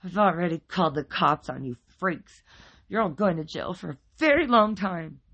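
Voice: medium pitch, animated, drawn-out words, warm tone, husky, muffled recording, pitched above the performer's own voice, heavily acted. A storyteller voicing a hysterical, venomous neighbor woman, shrieking and sputtering with indignation in an audiobook-style story. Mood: furious threat.

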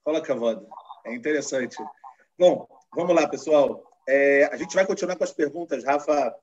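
A man's voice: on the high side, moderate pace, slight background noise. A man hosting a live-streamed interview, talking in a loose, conversational way and asking long, rambling questions. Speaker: host. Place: lives in Israel. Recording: muffled lo-fi stream audio.

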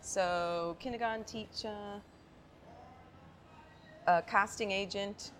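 A woman's voice: medium pitch, fairly even intonation, slow, a bit bright. A woman in her thirties chatting frankly as a guest on a casual interview podcast, often laughing. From Thailand and Hong Kong. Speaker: guest artist. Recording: faint background noise.

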